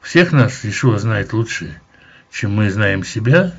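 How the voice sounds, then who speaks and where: A man teaching verse by verse through a Bible chapter, in a measured, explanatory way with occasional hesitations. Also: lowish voice, expressive, creaky, husky, highs cut off.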